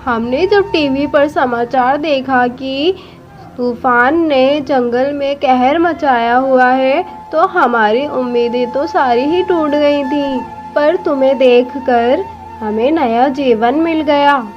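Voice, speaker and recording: Woman playing a sweet motherly mother-in-law bird, cartoon story, slow and soothing. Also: sits high, lo-fi sound, soft background music. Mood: caring. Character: kindly older mother-in-law bird, warm and caring.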